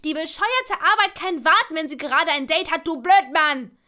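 A woman voicing a fiery, exasperated young woman squabbling in an audiobook story, shrill and indignant. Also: moderate pace, bright, forceful tone. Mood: furious.

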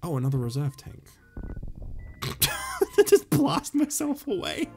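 A man rambling casually and swearing while playing a game, his voice going hoarse. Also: very high voice, wildly swooping pitch, slow, darkish tone, faint music behind. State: losing his voice. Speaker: gaming YouTuber. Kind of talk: casual let's-play commentary.

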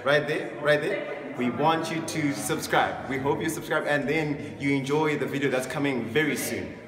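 A man's voice: medium pitch, moderate pace, crowd noise. A young man from Zimbabwe, a group singer eagerly addressing viewers, repeating himself for emphasis. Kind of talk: upbeat outro address to camera. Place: empty indoor hallway. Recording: echoey hall with music behind.

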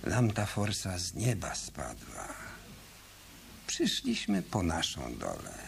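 Cocky streetwise tough guy, gravelly and slow, swings up and down, hissy old tape. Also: low-pitched, huge pitch swings, heavily acted. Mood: cocky storytelling. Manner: brash, cocky, slangy. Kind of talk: 1990s radio drama acting.

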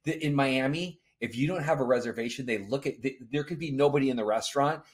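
A middle-aged man co-hosting a casual podcast, talking in an easygoing, relaxed way. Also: medium pitch, quick pace, slightly rough. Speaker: podcast co-host. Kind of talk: casual chat. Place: home studio. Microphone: studio mic on boom arm.

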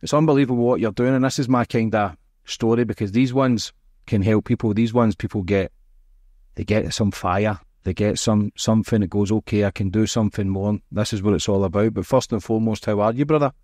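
A man's voice: lowish voice, steady tone, fairly quick, a little mellow, no room sound, clean studio sound. A middle-aged man interviewing a guest casually as a podcast host, with matey, informal turns of phrase. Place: small indoor room with curtains. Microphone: close podcast microphone on arm.

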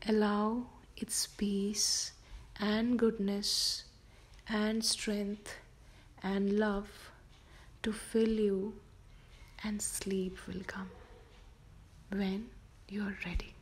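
A woman softly whispering a guided sleep meditation for children, slow and gentle. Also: mid-range voice, level tone. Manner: soft whispered delivery.

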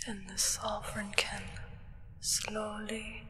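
A young woman acting a solemn, menacing vampire's acolyte in a hushed, deliberate ASMR roleplay whisper, close to the microphone. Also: mid-range voice, flat delivery, a little hiss or hum. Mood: sinister, savoring. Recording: whisper with long reverb.

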